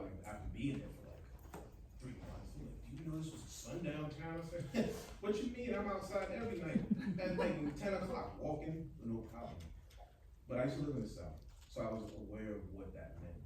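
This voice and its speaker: A man in an audience making a casual, musing comment in a halting, conversational way. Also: mid-range voice, big pitch swings. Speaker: audience member. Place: high up in audience seating of a hall. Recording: very echoey hall, distant, noisy.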